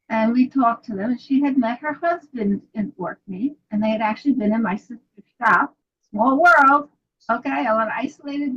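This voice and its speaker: A woman's voice: fairly high pitch, slightly rough. An older woman from New York with a Brooklyn accent, an author chatting about her books over a video call. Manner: chatty storyteller, laughs often. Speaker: author guest. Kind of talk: casual interview chat. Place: home study with bookshelves. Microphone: video call webcam. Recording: dull lo-fi video call audio.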